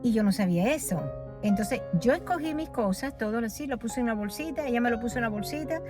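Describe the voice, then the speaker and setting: A woman's voice: on the high side, expressive, fast. Female vlogger raised in Los Angeles, rambling and chatty, handheld phone audio with music underneath. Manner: chatty, rambling, changes topic often, laughs at herself. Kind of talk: casual vlog walking tour. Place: outdoor street corner at night.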